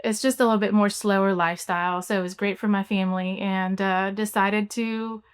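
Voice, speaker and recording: A young adult woman from Cuba, an orthodontist, answering interview questions in a relaxed way with many fillers. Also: medium pitch, fairly quick, clean tone. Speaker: orthodontist guest.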